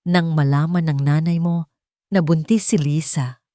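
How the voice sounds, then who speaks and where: A woman's voice: low voice, steady tone, a little slow, dark tone, dry recording, studio quality. A woman voicing an elderly, guilt-ridden housemaid in an audio drama, hesitant, bitter and pleading. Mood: remorseful confession. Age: older. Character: frightened, guilt-ridden elderly housemaid confessing dark secrets.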